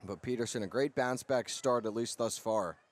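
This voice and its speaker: A man's voice: mid-range voice, normal speed. A man giving baseball color commentary as an analyst alongside a play-by-play announcer.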